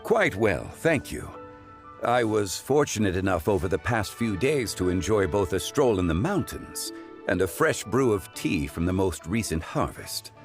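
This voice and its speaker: A man playing a calm, learned gentleman consultant in an anime game, measured and formal. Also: fairly low pitch, faint music behind. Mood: serene, courteous.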